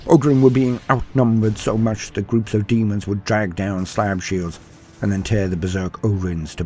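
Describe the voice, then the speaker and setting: A man's voice: on the low side, a little mellow, slightly rough. A man reading a scripted war story as narrator, in a dramatic storytelling style. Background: faint music.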